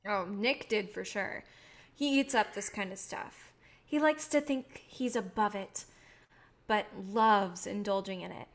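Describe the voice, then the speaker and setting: A woman's voice: medium pitch, unhurried, natural voice. A woman playing a guarded, idealistic filmmaker, wry and self-deprecating, in a naturalistic staged play reading. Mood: musing.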